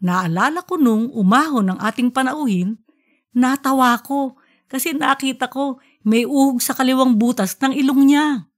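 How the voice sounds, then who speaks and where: A woman's voice: high voice, moderate pace, pitched above the performer's own voice. A woman voicing a giddy female school principal giving a formal, rambling speech in an audiobook story. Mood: reminiscing.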